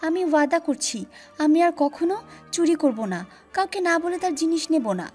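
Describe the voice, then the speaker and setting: Woman as a high-pitched frightened little boy. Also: lively intonation, moderate pace, soft background music. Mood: pleading. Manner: loud, high, pleading. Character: naughty, frightened young boy pleading for his life. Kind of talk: animated children's story.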